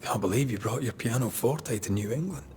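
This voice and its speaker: An actor playing a kindly, reassuring ghost hunter of colonial times in a video game. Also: male voice, mid-range voice, brisk, darkish tone, a little hiss or hum, close to an everyday voice. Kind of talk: dramatic video game acting.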